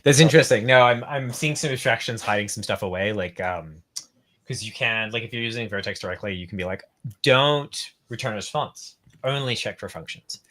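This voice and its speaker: An Australian man co-hosting a live coding stream, chatting casually and thinking aloud with a relaxed, joking manner. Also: fairly low pitch, fairly quick. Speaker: co-host.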